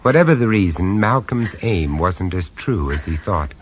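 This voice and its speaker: A grave storyteller spins a horror tale for an old radio play. His voice sits very low, yet it rises and falls widely as he builds dread, and he turns dryly sarcastic when he talks to the others. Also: animated, slight background noise. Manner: measured, dramatic storytelling with dry sarcasm. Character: grave, wry male storyteller on a castle tour. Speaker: first-person narrator of a radio horror story. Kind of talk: 1970s radio drama narration.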